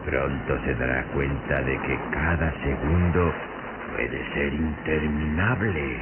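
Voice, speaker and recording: Radio horror servant: ominous and formal, deep voice, dragging deliberate delivery, cryptic menace, noisy vintage sound. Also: male voice, exaggerated voice. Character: sinister, cryptic servant of a vampire count. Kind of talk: radio drama acting. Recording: muffled, noisy old radio drama recording.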